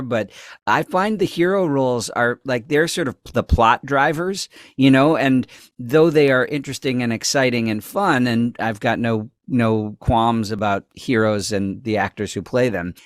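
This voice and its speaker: A youthful-sounding man chatting casually as an interview guest, thinking aloud in halting, rambling sentences. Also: medium pitch, clear, resonant tone, dry recording, clean studio sound.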